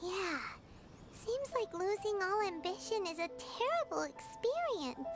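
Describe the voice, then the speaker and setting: A woman voicing a tiny, chirpy, blunt fairy companion in a video game, with a bubbly, excitable manner. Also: a little slow, exaggerated voice. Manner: bubbly, excitable, high sing-song voice. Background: game music.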